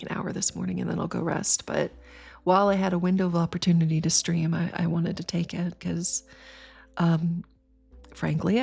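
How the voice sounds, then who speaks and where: A woman's voice: on the low side, creaky, a little mellow, husky. A tired woman in her thirties, sniffly and congested, chatting casually with her livestream viewers in a rambling way. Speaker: streamer.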